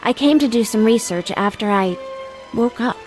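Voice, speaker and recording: A woman's voice: a little high, lively intonation, normal speed, darkish tone, soft background music, lightly acted. A woman playing a dry, plain-spoken academic caught up in ghostly events in a video game. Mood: composed.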